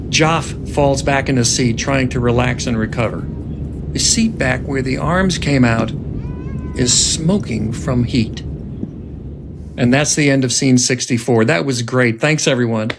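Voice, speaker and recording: A man hosting a script reading as writer-director, narrating in a deliberate, suspenseful storytelling manner. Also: mid-range voice, background noise. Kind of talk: dramatic narration of screenplay action, then discussion. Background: loud instrumental music.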